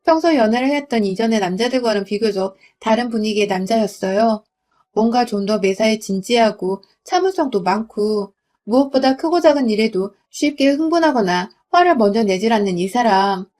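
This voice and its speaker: A young woman from Gwangju narrating a first-person story in a smooth, confiding reading voice. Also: on the high side, expressive, moderate pace, darkish tone. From Gwangju, South Korea.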